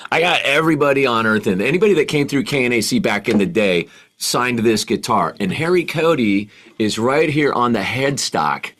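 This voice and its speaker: Man, radio host, mid pitch, enthusiastic, halting, casual interview. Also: lively intonation, fairly bright tone.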